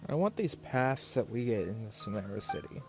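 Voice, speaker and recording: A man's voice: lowish voice, sing-song, brisk, low-quality recording. A man giving casual live-stream commentary on a game, musing aloud as he plays. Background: game music.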